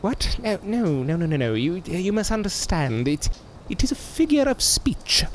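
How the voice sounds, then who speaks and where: A snobbish master with a high, swooping voice, over faint background noise. He is fussy, flowery and condescending, an exasperated gentleman from a radio play. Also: very animated, moderate pace. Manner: pompous, fussy, flowery phrasing with British idioms. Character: haughty, exasperated male master, condescending and foul-mouthed. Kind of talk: audio drama acting.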